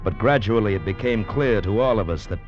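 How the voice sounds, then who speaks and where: A man playing a grave convict narrator in a tropical prison colony, telling his story in measured, dramatic 1940s radio style. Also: on the low side, lightly acted. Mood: grave, suspenseful. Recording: dull vintage radio recording with music behind.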